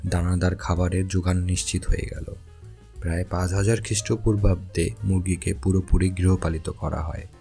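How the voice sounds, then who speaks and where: A man with a deep, dark voice and a gravelly edge tells an educational story in a calm, explanatory way. It is a scripted voice-over, with faint music playing underneath. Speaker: narrator of an educational channel. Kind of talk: scripted documentary narration.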